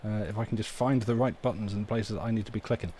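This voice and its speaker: A man's voice: fairly low pitch, fairly even intonation, fast, warm tone, a little hiss or hum. A man hosting a live stream in casual chat, tentative and rambling, often interrupting himself. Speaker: livestream host.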